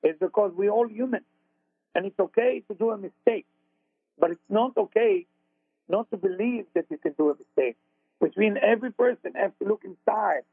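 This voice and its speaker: A man's voice: sits high, creaky, breathy edge, dry recording. A man with an Israeli accent, a spiritual teacher telling a story on a radio talk show in earnest, confessional tones and broken English phrasing. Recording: dry phone-line sound.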